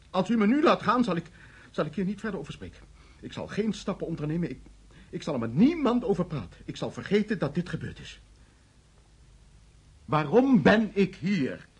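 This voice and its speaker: Frightened, high-voiced husky man protesting innocence. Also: sing-song, normal speed, pauses often, heavily acted. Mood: anxious pleading. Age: 40s. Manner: agitated, stammering, protesting. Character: frightened, protesting man under interrogation, insisting on mistaken identity. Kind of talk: radio play acting.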